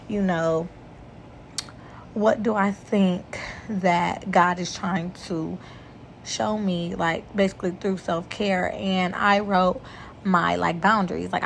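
A young woman hosts a casual faith-based podcast. Her voice is raspy all the time, and she chats loosely and warmly with her listeners, often calling them "y'all". A faint hiss sits under the recording. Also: mid-range voice. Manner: chatty, addresses listeners as "y'all". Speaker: podcast host.

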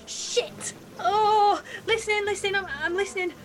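A young woman playing an excitable, anxious nineteen-year-old trainee beekeeper in an audio drama. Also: very high voice, normal speed, slight background noise. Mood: flustered, apologetic. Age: young adult. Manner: breathless, excitable, cheeky.

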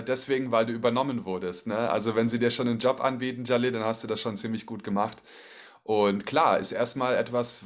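A man with a fairly low voice hosts a relaxed conversation. He asks encouraging questions and often closes his sentences with a quick tag question, keeping the talk friendly and easy. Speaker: interviewer. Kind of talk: casual conversational interview.

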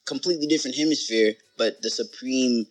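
A man as a podcast guest talking in casual conversation, animated and rambling, with lots of "like" and "you know". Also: medium pitch, fairly quick, soft background music, rough recording. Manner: animated, rambling, says "like" a lot.

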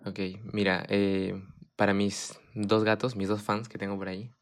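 A young man, a podcast guest chatting in a relaxed way with lots of fillers and restarts. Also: fairly low pitch, unhurried. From Japan. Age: young adult. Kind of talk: casual chat.

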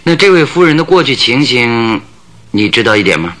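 An actor in a Chinese radio drama playing a composed, inquisitive male detective who questions in a measured, pondering way. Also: mid-range voice, sing-song, fairly bright tone. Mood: curious, pondering.

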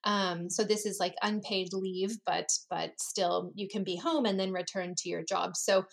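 This is a woman from the United States, speaking in a relaxed, casual way. She chats as a co-host, with fillers and restarts and a middle pitch. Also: measured pace, creaky. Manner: chatty, loose, with many fillers. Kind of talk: casual conversation.

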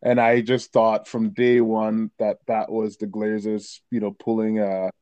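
A man hosting a casual football chat, agreeable and quick with short backchannels. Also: fairly low pitch, little pitch movement, mellow, dry recording.

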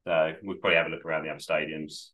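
A man's voice: very deep voice, flat delivery, very fast, bright, forceful tone. A man co-hosting a casual podcast chat, rambling and upbeat, getting over a cold. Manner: rambling, self-correcting, upbeat. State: recovering from a cold. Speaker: podcast co-host.

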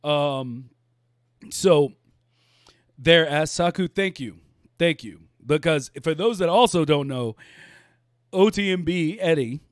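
A man's voice: on the high side, dry recording, clean studio sound. A man hosting a casual live-stream chat, laid-back, laughing often and repeating his words. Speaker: podcast host.

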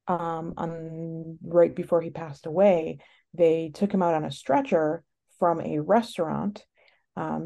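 A sniffly woman chats casually as a podcast co-host, rambling and frank. Her voice sits on the low side with plenty of gravelly fry, and she takes her time getting the words out. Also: dark tone. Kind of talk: casual chat.

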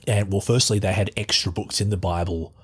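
Australian man, deep voice, very raspy, casual interview talk, halting and searching for words. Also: steady tone. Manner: halting, many restarts and fillers while searching for words.

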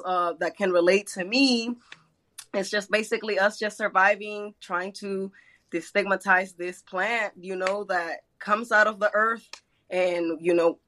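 A woman's voice: mid-range voice, a bit bright. A young woman from Mexico, a guest artist chatting casually, giggling and rambling as she talks. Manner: giggly, rambling, laughs often. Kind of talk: casual chat.

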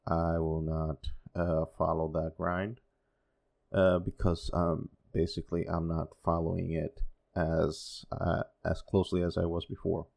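A man's voice: deep voice, monotone, measured pace, vocal fry, darkish tone. A man in his forties whose first language is Spanish, rambling through a solo podcast with wry, sarcastic asides. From Dominican Republic. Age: 40s. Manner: self-deprecating, wry and sarcastic, with many fillers. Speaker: podcast host.

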